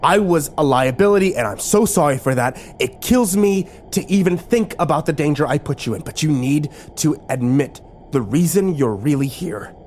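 A man's voice: on the high side, expressive, normal speed, hardly any pauses, a little hiss or hum, pitched above the performer's own voice, close to an everyday voice. A man playing an anxious, remorseful young man in a suspense story, earnest and pleading.

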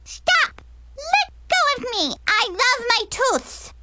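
A plucky, mischievous little girl. The voice is squeaky and bright, childish and full of emphasis, with big swings in pitch. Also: sing-song, slow, dry recording, exaggerated voice. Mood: defiant screaming.

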